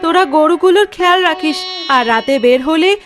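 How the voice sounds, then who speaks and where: A woman's voice: sits high, expressive, moderate pace. A woman playing a caring, concerned village mother in a cartoon. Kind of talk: cartoon character acting. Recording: music under the voice.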